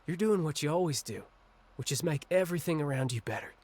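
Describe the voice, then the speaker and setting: A man acting an angry, ashamed younger brother in a video game cutscene, with a halting, bitter, confessional delivery. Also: on the high side, lively intonation, darkish tone. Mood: ashamed, earnest. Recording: music under the voice.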